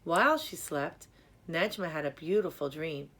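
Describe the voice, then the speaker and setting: A woman reading a children's story aloud in a measured, expressive storytelling manner. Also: deep voice. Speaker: storybook reader. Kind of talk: scripted narration, children's story read aloud.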